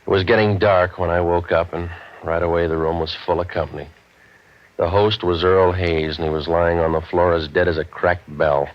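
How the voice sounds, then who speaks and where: An actor playing a cynical, wisecracking private eye in a 1940s radio drama, with deadpan, tough-talking delivery. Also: male voice, low voice, normal speed, natural voice. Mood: wry, deadpan. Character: hardboiled, wisecracking male private eye narrating his case. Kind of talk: 1940s radio detective acting and noir narration. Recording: dull vintage radio recording.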